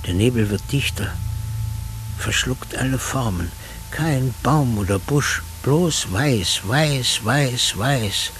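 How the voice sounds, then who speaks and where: An actor playing a brooding, self-critical man in a radio play, delivering a measured, contemplative literary monologue. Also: medium pitch, lively intonation, fairly bright tone, slight background noise. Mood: absorbed, narrating. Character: brooding, self-critical man recalling lists and lonely travels.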